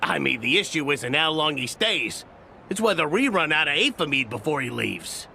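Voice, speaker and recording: A man's voice: fairly high pitch, very animated, moderate pace, creaky, sharp tone, a little hiss or hum, full character voice. A man playing a casual, chatty young townsman in an anime game. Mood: worried.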